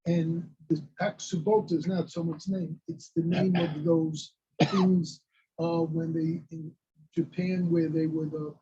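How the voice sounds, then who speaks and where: Man, high pitched, warm mellow tone, accented, rambling, lo-fi audio. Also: flat delivery, a little slow, husky. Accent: non-native English. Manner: rambling explainer with hesitations. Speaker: study partner. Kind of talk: casual chat. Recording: dull lo-fi sound.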